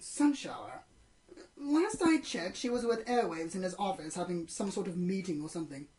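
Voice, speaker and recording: A woman's voice: lowish voice, sing-song, moderate pace, warm tone, low-quality recording. A woman playing a haughty, easily flustered pony performer in a radio play, with pompous, dramatic, formal diction. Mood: haughty, dismissive. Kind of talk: amateur radio play acting.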